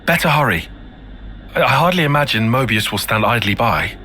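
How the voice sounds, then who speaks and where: An actor playing a prim, bookish young strategist in a game cutscene, precise and formal. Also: male voice, medium pitch, lively intonation, fairly quick, sharp tone, a little hiss or hum. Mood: prim, concerned. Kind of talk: video game cutscene acting.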